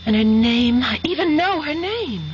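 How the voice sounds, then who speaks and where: A woman playing a brisk, self-assured young engineering student in a vintage radio mystery. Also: sits high, animated. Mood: excited, inventive. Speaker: radio drama actor. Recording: muffled, hissy old radio recording.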